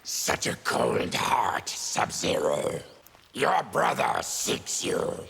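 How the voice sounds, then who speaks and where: An actor playing an afflicted, embittered mutant warrior in a video game, with a gravelly growl and grim, deliberate, menacing delivery. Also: male voice, lowish voice, big pitch swings, a bit bright, heavily acted. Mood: bitter. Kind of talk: video game character dialogue. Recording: clear background noise with clicks.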